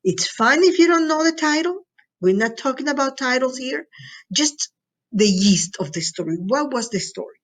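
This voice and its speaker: A woman's voice: high-pitched, lively intonation, normal speed. A woman from Latin America teaching a recorded lesson to students in an encouraging, instructional way, often checking in with them.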